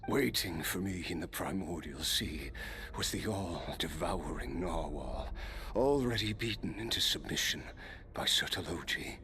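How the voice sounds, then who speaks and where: An actor playing a weary, cursed immortal warrior in a game cutscene, speaking low and half-whispered. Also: male voice, animated, measured pace, a crowd in the background. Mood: grim.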